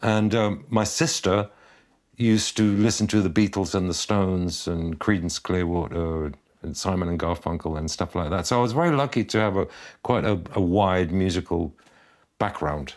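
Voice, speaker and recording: A middle-aged man who grew up in Argentina, speaking as a guest musician in a relaxed interview with an easygoing, reflective manner. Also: deep voice, moderate pace. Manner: easygoing, reflective, chuckles at his own jokes.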